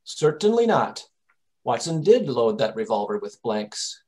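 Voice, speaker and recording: A man playing a shrewd, composed English gentleman detective in old-time radio mystery style, formal and precise. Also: medium pitch, very animated, unhurried. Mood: assured, explaining.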